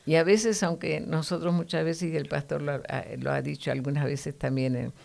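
Low, gravelly-voiced woman teaching the Bible. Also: steady tone, moderate pace, darkish tone. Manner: earnest, teacherly, frequent "¿no?" tags.

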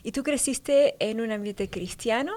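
A woman's voice: fairly high pitch, unhurried, darkish tone. A woman hosting a conversational faith interview on radio, speaking with her guest. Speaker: radio show host.

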